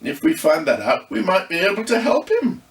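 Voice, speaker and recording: A man voicing an excitable, kind-hearted young girl in an audiobook ghost story, exclaiming earnestly. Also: sits high, moderate pace, roomy sound, far from the mic, voice pushed up.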